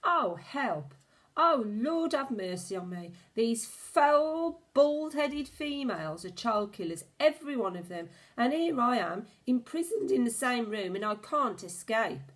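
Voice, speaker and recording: A woman's voice: a little high, big pitch swings, unhurried, voice pushed up. A woman voicing a frightened young boy in a storybook, pleading and panicking in his thoughts. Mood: panicked. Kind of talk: storybook reading.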